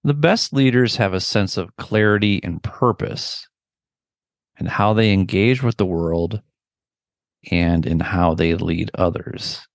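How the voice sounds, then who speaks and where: A middle-aged man leading a guided meditation in a gentle, deliberate manner with long pauses. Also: low voice, dry recording, clean studio sound.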